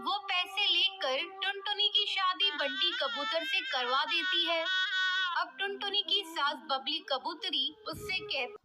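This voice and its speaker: Woman, storybook narrator, very high voice, plain telling. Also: measured pace, over loud music.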